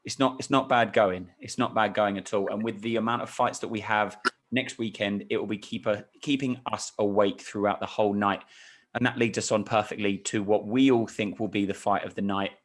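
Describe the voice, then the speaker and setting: A man's voice: lowish voice, fairly even intonation, fairly quick. A man hosting a sports podcast discussion, introducing topics with playful hype. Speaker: podcast host.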